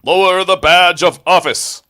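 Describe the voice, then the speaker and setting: A man's voice: sits high, steady tone, a little slow, sharp tone, clean tone, no echo, clean studio sound. A man acting a grandiose ship's officer in an amateur sci-fi script read, proclaiming pompously. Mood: mock stern. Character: grandiose ship's officer reading mock charges.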